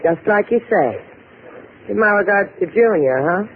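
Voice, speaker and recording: Actress as sultry tough dame, wry, deadpan, drawling, lively intonation, tinny vintage radio drama. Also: female voice, medium pitch, normal speed, lightly acted. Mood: sardonic. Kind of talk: 1950s radio acting. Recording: noisy, tinny old radio broadcast.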